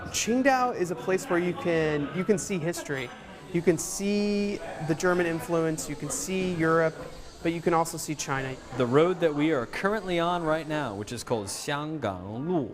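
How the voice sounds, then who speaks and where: Young man interviewed amid crowd noise. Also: a little high. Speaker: interviewee, expat resident. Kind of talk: casual interview.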